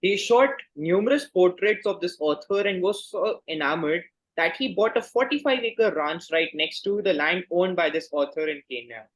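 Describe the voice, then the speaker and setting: A man hosting a quiz, reading questions and announcing results in a courteous, formal, measured way. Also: high voice, expressive, rough recording.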